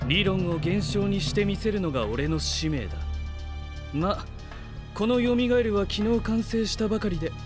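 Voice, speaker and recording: A man's voice: high-pitched, lively intonation, moderate pace, over music. A man playing a confident, theatrical young scientist in an anime-style voice drama, speaking in grand, self-assured declarations. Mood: proud, showy.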